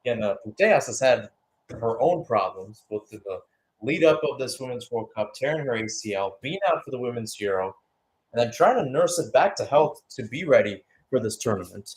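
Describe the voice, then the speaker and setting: A man's voice: mid-range voice, expressive, fairly quick, poor audio. A man giving live radio-style football play-by-play, mixing calls of the action with conversational, musing analysis. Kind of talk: live radio sports commentary.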